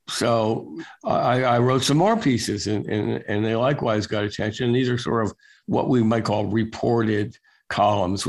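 An older man being interviewed as a guest, telling stories in a relaxed, wry way with lots of filler words. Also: fairly low pitch, vocal fry. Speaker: guest, national security reporter. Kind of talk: casual storytelling interview.

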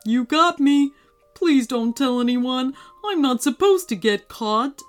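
A woman's voice: sits high, animated, takes it slowly, faint music behind. A woman voicing a nervous, apologetic male furry monster in a children's story, with a hesitant, sheepish manner.

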